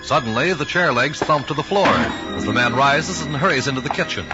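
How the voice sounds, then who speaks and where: A man's voice: a little high, lively intonation, brisk. A man announcing and narrating a vintage radio drama in a formal storytelling style. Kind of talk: 1940s radio scripted narration. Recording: dull old radio broadcast recording. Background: music.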